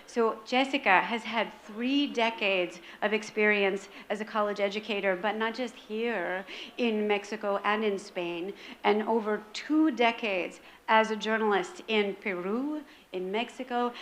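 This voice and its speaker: A woman hosting an event, introducing the next speaker in a lively stage introduction and rallying the audience. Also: mid-range voice, a little slow. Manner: upbeat, rallies the audience.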